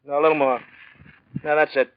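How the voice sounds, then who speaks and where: An actor playing an uneasy, earnest married painter in a 1940s radio drama, speaking hesitantly. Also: male voice, on the high side, level tone, a little fast, close to an everyday voice. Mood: tense, impatient. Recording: muffled, noisy 1940s radio recording.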